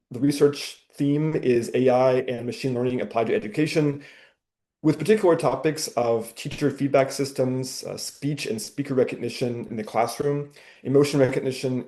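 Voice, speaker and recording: A man, a professor, giving a measured webinar presentation over a video call, with frequent ums and uhs. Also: medium pitch, warm tone.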